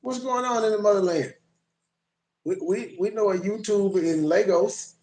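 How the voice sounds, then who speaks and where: A man's voice: high voice, normal speed, a little mellow, reverberant, low-quality recording. A man hosting a livestream, rambling and profane as he chats with viewers and reacts to clips. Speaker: livestream host. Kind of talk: casual live commentary.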